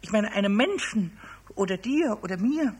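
High, husky little kobold. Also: male voice, expressive, normal speed. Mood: worried, hesitant. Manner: stammering, hesitant, exclaiming. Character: cheeky, anxious little male kobold sprite. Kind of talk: 1970s children's radio play acting.

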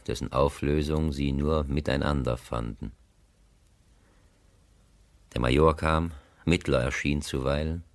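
A man narrating a literary radio play as a solemn, measured storyteller. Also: extremely low pitch, no echo. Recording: dry studio sound.

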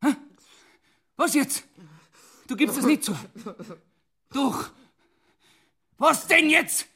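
An actor playing a persistent police inspector in a radio crime drama, with a Bavarian accent and insistent, probing delivery. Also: male voice, very high-pitched, drawn-out words, sharp tone, heavily acted. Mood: pressing, stern.

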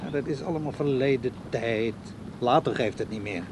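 A man's voice: medium pitch, normal speed, lightly acted. An actor playing a restless, evasive older man in a radio play, dreamy and musing. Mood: evasive, casual. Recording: clear outdoor background noise.

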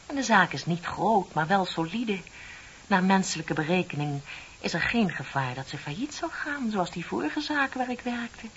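A composed, bitter woman clerk in her thirties, played by an actress in an old radio play. She speaks deliberately and theatrically, each point meant to needle, at a middling pitch. The recording is dull, with clear hiss. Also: lively intonation, a bit bright, natural voice. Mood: smugly composed. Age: middle-aged.

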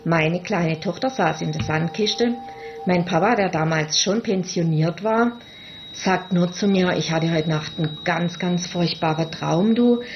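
Low-voiced woman, dull recording. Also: unhurried, slight background noise. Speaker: policeman's daughter interviewed. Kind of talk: personal interview recollection.

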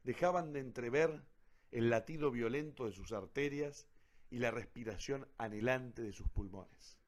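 A man's voice: medium pitch, a little slow. A man reading scripted, dramatic narration as the narrator of a radio play.

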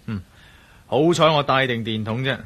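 A man's voice: medium pitch, normal speed, a bit bright, lightly acted. A man acting a confident, cool-headed adventurer in a radio drama, with a Hong Kong Cantonese accent. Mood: calm, resolute.

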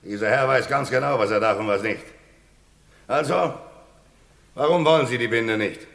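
An actor in a German crime radio play playing a stern, impatient town official, curt and commanding, in a roomy echo. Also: male voice, medium pitch, moderate pace, gravelly, fairly bright tone.

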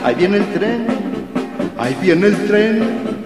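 A man sings a narrative ballad in a very high voice. The sound is drenched in long reverb, with loud instrumental music. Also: animated, slow pace. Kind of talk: sung narrative ballad in Spanish.

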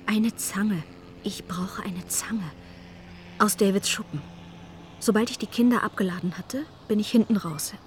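A woman's voice: medium pitch, measured pace, a little hiss or hum, natural voice. An actress plays a fussy suburban mother of two in a radio drama, fixated on her house. She rambles on in a chatty, fretful way. Her voice sits in an ordinary middle register.

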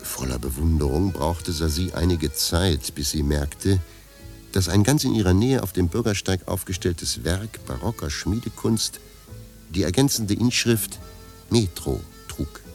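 A man giving scripted literary narration for a radio play in a measured, deadpan manner. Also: low voice, faint music behind.

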